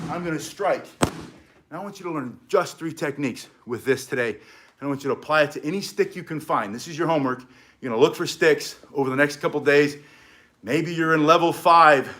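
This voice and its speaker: A middle-aged man teaching stick self-defense in an energetic, chatty way, with short punchy phrases. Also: a little high. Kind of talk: casual instructional demonstration.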